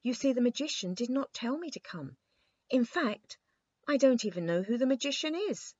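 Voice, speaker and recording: A petulant young king, acted by a woman storyteller, cross and full of his own dignity. The pitch sits higher, and the close, dry recording sounds a little muffled. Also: expressive, moderate pace, no echo. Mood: apologetic. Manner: cross, haughty, complaining. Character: petulant little boy king, haughty and bored.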